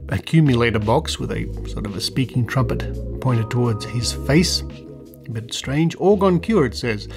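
A man presents a podcast as its host, speaking in a mid-pitched voice. He is close on a studio microphone in a treated home room, with music in the recording. The delivery moves between prepared material and informal asides. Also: darkish tone. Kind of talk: semi-scripted podcast monologue. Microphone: close studio condenser microphone.

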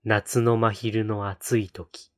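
Man, fairly deep voice, solemn poetry reading, deliberate pauses, unhurried slow delivery. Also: flat delivery. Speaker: poetry reader on a read-aloud channel.

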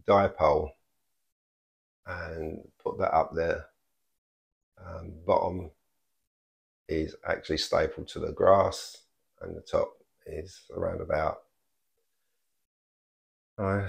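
An older man talking casually through a hobby vlog, explaining things in understated, hesitant, piecemeal phrases with a gravelly voice. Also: low-pitched, a little mellow. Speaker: amateur radio hobbyist vlogger.

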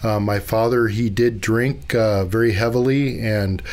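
A middle-aged man from Northern California, a podcast guest, talking earnestly and reflectively about his past with many pauses and fillers. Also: fairly low pitch, steady tone, darkish tone. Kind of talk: personal storytelling in an interview.

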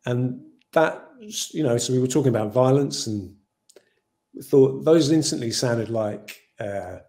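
Man with an English accent, dark tone. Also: medium pitch, a little slow. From Ireland. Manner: thoughtful, rambling with many "you know" fillers. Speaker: film director. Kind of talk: video call interview. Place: home room with shelves. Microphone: video call.

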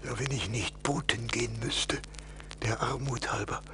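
An actor playing a frail, weary old beggar man in a 1980s radio play, with a creaky, breathy voice. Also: mid-range voice, fairly even intonation, moderate pace, close to an everyday voice. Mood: weary, pleading. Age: older. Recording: noisy old vinyl record.

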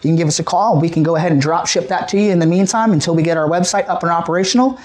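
American male repair technician, high-pitched voice, explanatory sales patter in a casual demo. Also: rapid-fire, darkish tone. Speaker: radio repair shop technician. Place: small cluttered radio repair shop.

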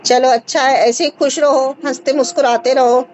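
A woman in her thirties hosting a live video call at home, calmly advising a caller and checking in with short questions. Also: high-pitched, normal speed, dull audio, a little hiss or hum. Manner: reassuring, repeats short checks like "है ना?". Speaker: host advising a caller on a skin remedy. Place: indoor home room with curtains. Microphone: phone front camera on a video call.

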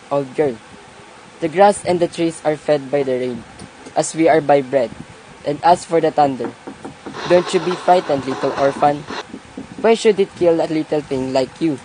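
Kindly old country uncle, played by an amateur actor: pious, folksy, soothing, mid-pitched, light noise behind. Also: darkish tone, lightly acted. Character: kindly, pious old peasant uncle, gently chiding children. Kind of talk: amateur radio play reading. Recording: noisy amateur home recording.

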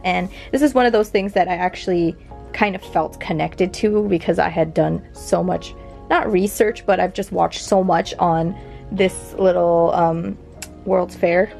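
A woman's voice: on the low side, gravelly, darkish tone. A young woman chatting casually as she shows off old paper collectibles, rambling with fillers and little laughs. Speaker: vintage collection vlogger. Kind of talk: casual show-and-tell narration. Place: home near a big airport.

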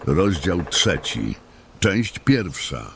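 A man's voice: deep voice, big pitch swings, very slow, vocal fry, over loud music. A man making a dramatic title and chapter announcement as the narrator of an audio drama.

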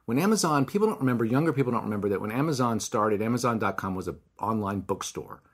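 A man with a hoarse, raspy voice hosting a podcast interview in a wry, rambling way. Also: fairly low pitch, animated, fast. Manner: rambling, self-deprecating, wry. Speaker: podcast host. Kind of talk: casual conversational interview.

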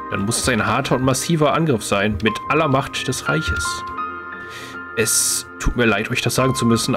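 A man voicing a solemn, regretful young prince in a fantasy game, measured and formal. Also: mid-range voice, lightly acted.

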